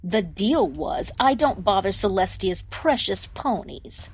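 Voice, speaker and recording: Woman as a sly, slow-talking trickster fox. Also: mid-range voice, lively intonation, breathy edge. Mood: sly, dismissive. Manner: taunting, theatrical, drawling delivery. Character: sly female magical fox trickster, playfully cruel. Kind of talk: fan audio drama acting.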